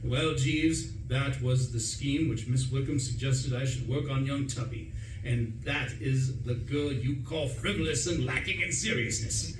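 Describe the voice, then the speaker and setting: A man playing a pompous, excitable young upper-class gentleman, indignant and self-satisfied, in a radio play. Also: medium pitch, measured pace, close to an everyday voice. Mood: smug, triumphant. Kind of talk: stage radio play acting with first-person narration. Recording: roomy, distant stage recording, clear noise.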